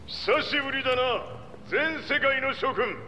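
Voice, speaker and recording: A man's voice: extremely high pitch, brisk, bright, forceful tone, full character voice. An actor playing a proud, domineering old male warlord who speaks in archaic, commanding Japanese, in a video game cutscene. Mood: commanding, triumphant. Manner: archaic, imperious old-man speech, commanding. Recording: noisy game scene ambience.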